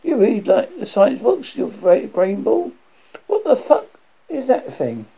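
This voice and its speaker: A man's voice: high-pitched, big pitch swings, measured pace, vocal fry, slightly rough, pitched above the performer's own voice, exaggerated voice. A man playing a brash, bossy young jock in a horror story, urgent and slangy. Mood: rattled, demanding. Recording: dull lo-fi recording.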